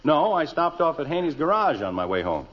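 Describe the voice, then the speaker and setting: A man's voice: on the high side, fairly quick. An actor playing an exasperated middle-aged husband and father, grumbling in old radio sitcom style. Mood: easygoing, dry. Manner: grumbling, animated. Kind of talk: 1940s-50s radio sitcom acting. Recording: muffled, hissy old radio recording.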